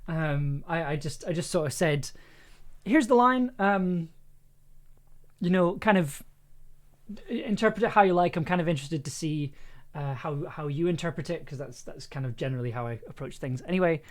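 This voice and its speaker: A man hosting a casual production livestream, talking in a halting, rambling and enthusiastic way. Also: fairly high pitch, lively intonation. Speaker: livestream host, audio drama producer.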